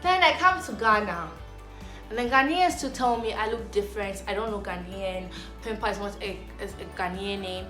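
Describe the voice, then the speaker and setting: A young woman from Zambia talks casually to camera, rambling through her points with plenty of fillers and false starts. Her voice sits at an ordinary middle pitch. The room rings with echo, and music plays clearly behind her. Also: moderate pace, sharp tone. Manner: chatty, rambling with many fillers and restarts. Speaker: vlogger. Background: clear background music.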